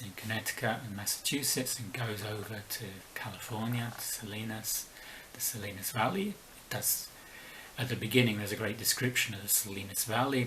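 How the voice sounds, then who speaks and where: Middle-aged male book vlogger, faint London accent, low register, measured delivery, faint tape hiss. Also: fairly bright tone, rough recording. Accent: weak London accent. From England. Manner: measured, halting with pauses and fillers. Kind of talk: casual book review talk.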